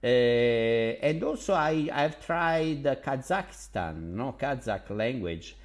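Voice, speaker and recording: A man from Naples with an Italian accent, a language tutor talking casually about languages in a halting, enthusiastic way with many fillers. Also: medium pitch, expressive. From Naples, Italy. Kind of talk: casual vlog monologue.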